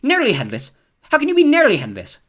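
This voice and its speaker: A man's voice: very high-pitched, huge pitch swings, quick pace, voice pushed up, heavily acted. A man doing an eager, curious young boy. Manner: eager, inquisitive.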